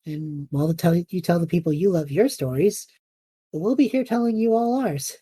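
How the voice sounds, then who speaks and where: A woman's voice: fairly low pitch, normal speed, dark tone, slightly rough. A woman playing an easygoing, kind-hearted and modest young male adventurer in an audio drama. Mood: warm sign-off.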